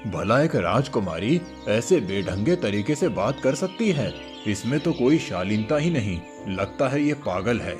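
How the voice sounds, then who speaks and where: A man's voice: fairly low pitch, very animated, measured pace, hardly any pauses. A man voicing a patient, fatherly old cowherd grandfather in a cartoon story. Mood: puzzled. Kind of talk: Hindi cartoon moral story dialogue. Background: music.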